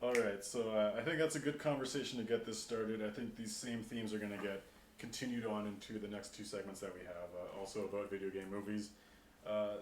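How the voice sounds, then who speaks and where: Echoey low-voiced man hosting a podcast. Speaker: podcast host. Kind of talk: casual panel discussion. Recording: roomy echo with clear background noise.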